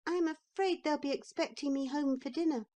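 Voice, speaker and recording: A woman voicing a polite but indignant little boy, high-pitched and quick. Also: dark tone, lightly acted. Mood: defiant.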